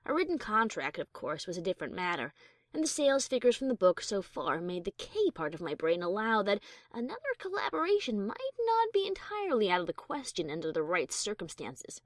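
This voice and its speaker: A woman's voice: medium pitch, wildly swooping pitch, a little fast, no echo. A woman playing a wry but secretly anxious adventurer pony who narrates her own story expressively, with bravado over nerves. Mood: reflective. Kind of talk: first-person dramatic story narration.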